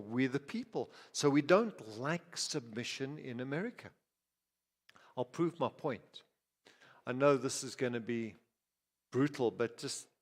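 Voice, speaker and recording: A man from Zimbabwe preaching a sermon as pastor, talking conversationally with frequent restarts, asides and repeated words. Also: on the high side. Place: church. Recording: reverberant hall.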